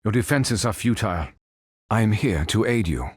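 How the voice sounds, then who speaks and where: A male actor voicing a calm, stately android superhero in a video game, speaking in formal, measured, precise phrases. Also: lowish voice, husky, no room sound, clean studio sound. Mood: stern, defiant, then courteous, formal. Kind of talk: scripted game voice lines.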